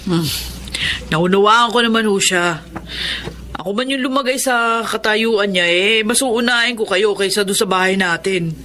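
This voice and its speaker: An actress playing a caring tomboy landlady, speaking gently and reassuringly in a radio drama. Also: mid-range voice, moderate pace, natural voice. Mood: calm, considerate. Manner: gentle, consoling. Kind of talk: Tagalog radio drama acting.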